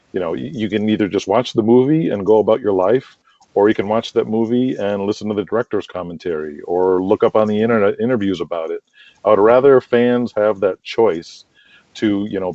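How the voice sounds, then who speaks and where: A man's voice: on the low side, mellow. A man giving opinions in a conversational interview as a guest promoter, speaking emphatically and explaining with examples. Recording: dull lo-fi, roomy echoing sound.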